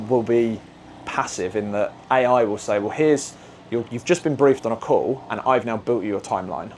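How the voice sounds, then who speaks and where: Male podcast guest, low-ish voice, quick animated explainer. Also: slight background noise. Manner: fast, animated explaining with analogies. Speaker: podcast guest, agency managing director. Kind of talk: casual podcast conversation.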